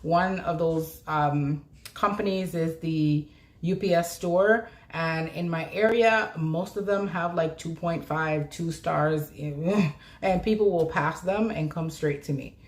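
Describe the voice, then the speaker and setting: A woman's voice: deep voice, slow. A woman from South Florida, a notary business mentor, chatting live with viewers in an upbeat way and laughing often. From South Florida, USA. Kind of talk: live-stream chat answering viewers.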